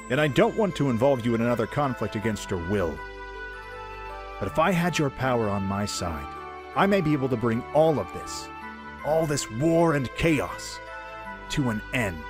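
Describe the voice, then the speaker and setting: A man's voice: mid-range voice, lightly acted. A man acting an earnest young exiled prince, burdened and unsure of himself, in a fan radio play.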